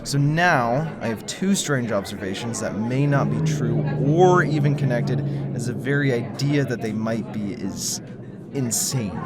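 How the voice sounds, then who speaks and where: A man's voice: mid-range voice, lively intonation, loud music behind the voice, close to an everyday voice. A man playing a hesitant conspiracy theorist. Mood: earnest. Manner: hesitant, halting delivery. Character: wary male conspiracy theorist, earnest and secretive. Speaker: lead character. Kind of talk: audio drama acting.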